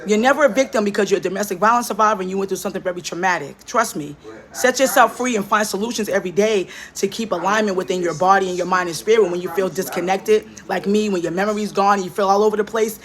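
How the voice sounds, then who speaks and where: A woman's voice: mid-range voice, fast. A woman delivering an earnest, preachy motivational monologue, urging women again and again to speak up for themselves.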